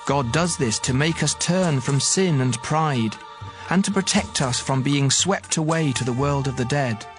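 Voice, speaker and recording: Over a music bed comes a somewhat high-pitched young man. He is earnest and emphatic, rebuking his elders with declamatory conviction as in an audio Bible drama. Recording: music behind the voice.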